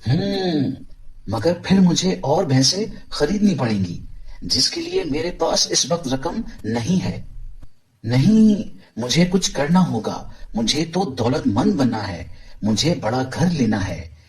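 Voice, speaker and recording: A man playing a greedy, discontented village milkman in an animated moral story, boastful, scheming and dismissive. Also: on the high side, slow.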